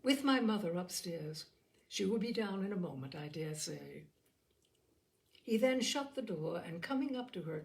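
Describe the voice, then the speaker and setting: A woman reading a classic novel aloud in an expressive storytelling manner. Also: medium pitch, gravelly. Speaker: audiobook reader.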